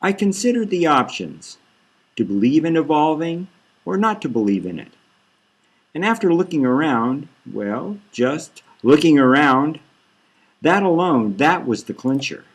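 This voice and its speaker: A man voicing a smooth, probing bull-man doctor in an audiobook, asking calm questions. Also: on the high side, unhurried, pitched above the performer's own voice, exaggerated voice.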